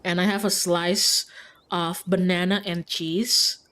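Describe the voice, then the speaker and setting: A woman's voice: fairly low pitch, fairly even intonation, slow pace, a bit bright. Chatty woman streamer. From Indonesia. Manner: informal, frequent "like", giggly. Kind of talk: casual livestream chat while playing a visual novel.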